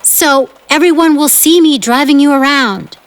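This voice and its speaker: A woman's voice: high-pitched, moderate pace, natural voice. A woman playing a bossy, thrill-seeking teenage gang leader in a 1950s audio drama, dramatic and restless in manner. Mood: edgy, impatient.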